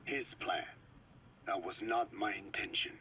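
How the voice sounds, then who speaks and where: An actor playing a frail, regretful elderly Navajo scientist in a video game, speaking slowly and solemnly in a breathy, whispery rasp. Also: male voice, fairly low pitch, fairly even intonation, bright, forceful tone, dull audio, slight background noise. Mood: regretful, grave. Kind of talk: dramatic video game voice acting.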